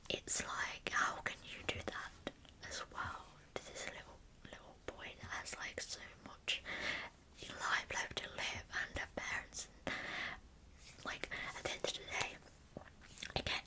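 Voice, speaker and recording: A young adult woman whispers in a breathy, soft-spoken, informal way as a true crime ASMR storyteller, close to the microphone. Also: low-quality recording.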